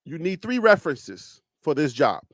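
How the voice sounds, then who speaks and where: High-pitched Liberian man. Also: normal speed, no echo, clean studio sound. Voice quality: animated, rising pitch when arguing. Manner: emphatic, rhetorical questions, teasing. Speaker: live show host. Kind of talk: casual live-stream commentary.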